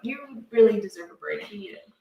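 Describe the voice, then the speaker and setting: A woman, co-hosting a casual homestead livestream and telling excitable stories. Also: a little high, brisk, vocal fry, lo-fi sound, slight background noise. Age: 30s. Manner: chatty, excitable, storytelling. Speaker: livestream co-host. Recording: roomy, from a distance off the mic.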